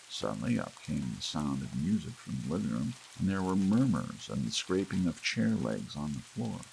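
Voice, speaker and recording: Very deep, gravelly man narrating. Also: rough recording. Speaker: audiobook narrator. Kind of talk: scripted story narration, relaxed bedtime reading. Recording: faint hiss and rain sound. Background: soft rain.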